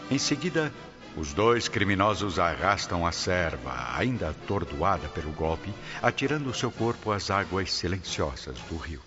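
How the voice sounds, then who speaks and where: A man's voice: low-pitched, expressive, unhurried. A Brazilian man giving solemn, literary narration for a radio drama audiobook. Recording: dull audio under loud dramatic music.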